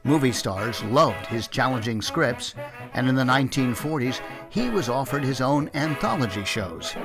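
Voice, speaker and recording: A man presents a scripted introduction as the host of a radio drama series. His voice is medium in pitch, with music clearly behind it. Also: lively intonation, normal speed. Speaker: host, announcer.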